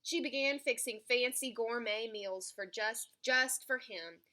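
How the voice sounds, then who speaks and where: A woman reading a children's picture book aloud in an expressive storytelling style. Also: a little high, measured pace. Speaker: storybook reader.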